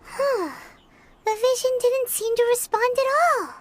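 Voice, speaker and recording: A woman voicing a tiny, excitable, childlike fairy companion in a video game, speaking in cute exclamations. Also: extremely high pitch, a little slow, heavy background noise. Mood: puzzled. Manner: speaks of self in third person, exclamatory.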